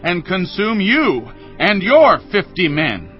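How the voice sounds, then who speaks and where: An actor playing a stern prophet who delivers solemn, declaiming pronouncements. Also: male voice, high voice, wildly swooping pitch, takes it slowly, heavily acted. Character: stern aged prophet, commanding man. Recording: muffled audio under music.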